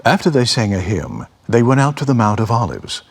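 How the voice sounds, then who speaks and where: A man with a husky voice giving a solemn, expressive dramatic reading of scripture as a voice actor. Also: mid-range voice, fairly quick.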